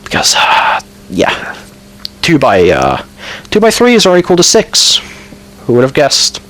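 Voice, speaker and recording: A man's voice: fairly high pitch, huge pitch swings, unhurried, a bit bright. A man with a Finnish accent, speaking Finnish as his first language, giving a casual voice-over of his own gameplay. He rambles and hesitates, fills gaps with ums, corrects himself, and throws in dry asides. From Finland. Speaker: gamer narrating his building.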